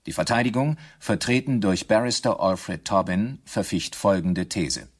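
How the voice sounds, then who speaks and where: An actor as the narrator of a historical crime audio drama, formal, sober and factual. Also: male voice, fairly low pitch, unhurried, breathy edge. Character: sober male narrator of a historical murder trial. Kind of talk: scripted documentary-drama narration.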